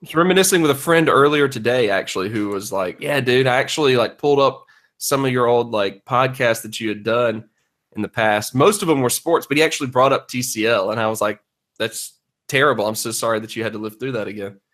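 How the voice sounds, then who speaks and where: A man's voice: medium pitch, a little fast, a bit bright. A man hosting a podcast in a casual, joking, conversational style. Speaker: podcast host.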